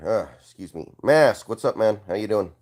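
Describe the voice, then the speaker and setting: A young man hosting a casual live stream, congested and hoarse, answering viewer comments with an offhand manner and frequent throat clearing. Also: on the low side, sing-song, unhurried.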